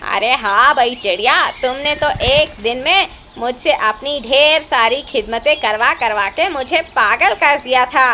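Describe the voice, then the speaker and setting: A woman's voice: high-pitched, unhurried, hardly any pauses, pushed and bright, slight background noise. A woman voicing a put-upon, complaining male crow in a cartoon, full of exclamations. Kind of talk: Hindi cartoon voice acting.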